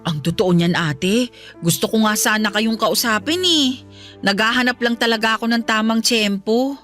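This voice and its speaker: A woman's voice: on the high side, normal speed, a bit bright, soft background music, lightly acted. A woman playing a deferential, chatty housekeeper in a Filipino radio drama, confiding in a respectful way. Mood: hesitant, confiding. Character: deferential, tattling female housekeeper, soothing and chatty.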